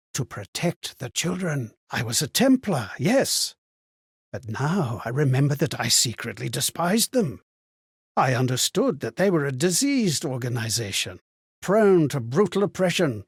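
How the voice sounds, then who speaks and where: Husky, haunted former holy knight. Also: male voice, medium pitch, no room sound, studio quality, exaggerated voice. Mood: solemn, resolute, then troubled, brooding. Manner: solemn, archaic, ponderous phrasing. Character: haunted, amnesiac former Templar, wistful ghostly man. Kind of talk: dramatic video game character monologue.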